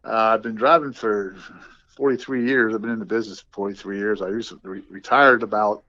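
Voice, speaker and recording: A man's voice: on the low side, steady tone, quick pace, bright, forceful tone. A man in his sixties giving casual interview answers as a guest, on muffled audio. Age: 60s. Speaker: retired truck driver, podcast guest.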